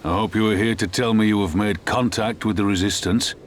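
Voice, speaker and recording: An actor playing a grave, middle-aged army general in a fantasy game, speaking formally and with measured authority. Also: male voice, lowish voice, steady tone, slight background noise, close to an everyday voice. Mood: welcoming. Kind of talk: scripted fantasy game acting.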